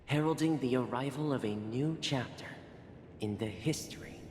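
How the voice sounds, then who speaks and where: An actor narrating a game story as a solemn, grave chronicler, with measured and portentous delivery. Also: male voice, mid-range voice, a little mellow, lightly acted. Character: solemn storyteller chronicling a fallen dream world. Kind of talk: dramatic scripted narration. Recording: roomy long reverb, clear noise.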